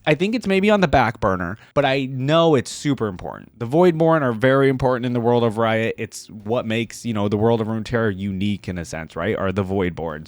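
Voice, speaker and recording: A man's voice: mid-range voice, no echo. A man hosting a game reaction video with casual, conversational, rambling commentary.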